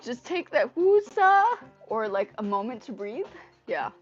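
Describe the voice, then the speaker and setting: High-pitched woman, native Indonesian speaker, dull lo-fi livestream audio, rambling chatty host, repeated phrases. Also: sing-song, a little slow, faint music behind. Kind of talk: casual livestream chat with viewers. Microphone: earpiece mic on a phone. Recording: dull lo-fi livestream audio through earpiece mic.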